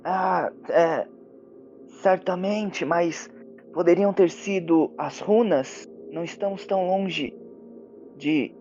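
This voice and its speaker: A man voicing an earnest, halting fantasy adventurer who talks with spirits in a tabletop game. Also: high voice, darkish tone, highs cut off, soft background music, pitched above the performer's own voice. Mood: accusing, hesitant. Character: Gwyn, earnest long-eared adventurer who speaks with spirits.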